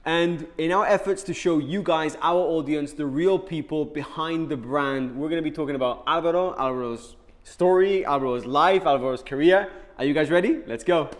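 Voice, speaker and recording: A man's voice: a little high, moderate pace, hardly any pauses. Enthusiastic male channel host. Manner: energetic, enthusiastic presenter. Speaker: host and interviewer. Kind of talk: upbeat channel interview.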